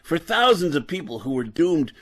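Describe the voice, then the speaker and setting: A man's voice: on the high side, big pitch swings, normal speed, a bit bright. A man playing an embittered, drunken, disgraced professor and brain surgeon in an old-time radio drama, lecturing and faltering over his words.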